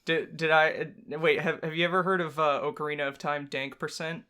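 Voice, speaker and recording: A man's voice: a little high, flat delivery, fairly quick, pushed and bright, smooth. A man co-hosting a let's-play, joking casually in a goofy, self-mocking way while he plays. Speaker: co-host of a let's-play show. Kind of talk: casual joking banter while playing a game.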